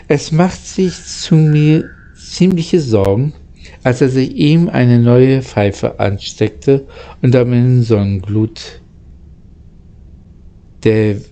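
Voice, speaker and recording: A man reading and talking in a halting way, stumbling over and repeating words, like an amateur storyteller. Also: mid-range voice, mellow. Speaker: narrator, channel host. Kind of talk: amateur storybook reading, casual channel outro.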